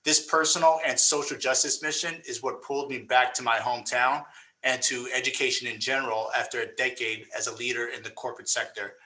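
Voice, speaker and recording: A man from South Florida talks on a career panel over a video call, earnest and grateful. His voice is bright and forward, with a middling pitch and a few uhs between thoughts. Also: poor audio. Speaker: school district leader, panelist.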